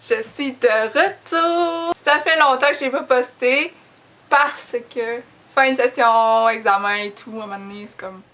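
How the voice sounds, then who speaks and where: A woman's voice: a little high, a little slow, a bit bright. A young woman with a Quebec French accent chatting casually and informally to camera as a book vlogger, in a giggly, self-deprecating way. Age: young adult.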